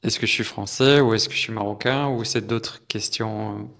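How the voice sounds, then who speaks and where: A man's voice: fairly low pitch, steady tone, normal speed, creaky, a bit bright. A male interviewer who asks short, direct questions.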